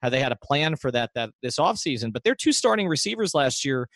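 A man's voice: mid-range voice, sing-song, a little fast, fairly bright tone, no room sound, clean studio sound. A man hosting a sports podcast, giving conversational analysis in an emphatic way and repeating phrases for stress.

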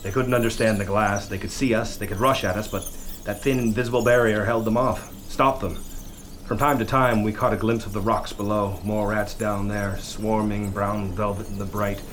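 Actor as a grim lighthouse chief, roomy and distant. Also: male voice, fairly low pitch, steady tone, moderate pace, fairly bright tone, close to an everyday voice. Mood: dread. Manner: dramatic, brooding, intense. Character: grim male chief lighthouse keeper, steadying leader. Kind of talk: horror radio play narration and acting. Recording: roomy, distant, faint noise.

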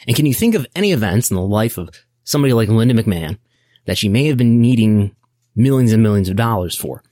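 A man's voice: lowish voice, expressive, quick pace, mellow, dry recording, clean studio sound. An American man hosting a podcast, giving casual explanatory business commentary in a wry, nerdy way, often thinking aloud. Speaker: podcast host.

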